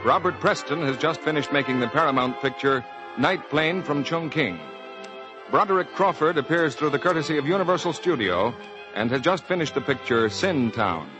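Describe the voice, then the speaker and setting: A man's voice: a little high, lively intonation, moderate pace, over music. An American man announcing on a 1940s radio show, reading scripted copy in a formal, emphatic sales delivery. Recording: dull vintage 1940s radio broadcast.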